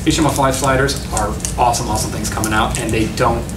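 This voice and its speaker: A man's voice: medium pitch, fairly even intonation, quick pace. A middle-aged man giving a casual talk at a meetup, often correcting himself as he goes. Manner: casual, self-correcting, enthusiastic about design. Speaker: meetup speaker. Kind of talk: conference talk with slides. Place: meeting room with projection screen. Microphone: room recording from a far camera. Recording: echoey room from far off, noisy.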